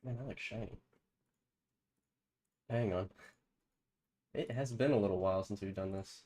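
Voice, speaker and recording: A man's voice: on the low side, normal speed. Congested man streaming a game. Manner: relaxed, rambling, many fillers. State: stuffy, congested nose. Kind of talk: casual live-stream commentary on a football video game. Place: home streaming setup with green screen. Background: background music.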